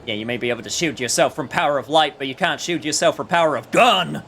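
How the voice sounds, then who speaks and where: A man giving casual, rambling, jokey live commentary while he plays a video game, with animated outbursts. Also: a little high, quick pace, faint music behind. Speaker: streamer playing a video game.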